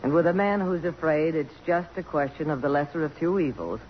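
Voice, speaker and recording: An American actress playing a principled, defiant woman journalist with a crisp, cutting delivery in a 1950s radio drama. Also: low-pitched, animated, brisk. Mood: cold, cutting. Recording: dull, noisy old radio broadcast recording.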